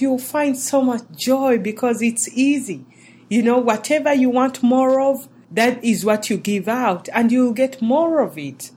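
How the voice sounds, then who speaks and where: A woman's voice: a little high, a little mellow, slight background noise. A woman from Uganda, hosting a faith-based teaching talk in an earnest, encouraging way. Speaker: show host.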